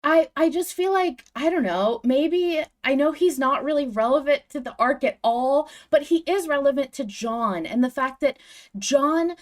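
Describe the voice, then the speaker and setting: A woman's voice: high voice, moderate pace. Woman podcast host, chatting casually like a big fan, really emphatic and gushy, swears a bit.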